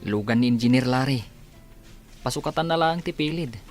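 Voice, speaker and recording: A man's voice: medium pitch, fairly quick, soft background music, lightly acted. A man playing an easygoing, respectful village workman in a radio comedy drama. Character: easygoing, deferential village workman, helpful and chuckling. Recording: faint vehicle noise behind.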